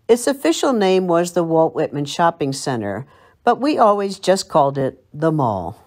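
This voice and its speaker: An older American woman reads scripted narration as a local history presenter. Her voice sits a little low and moves up and down a great deal in pitch. She tells it like someone reminiscing. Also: big pitch swings, normal speed, a little mellow. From Long Island, United States. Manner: storytelling, reminiscing.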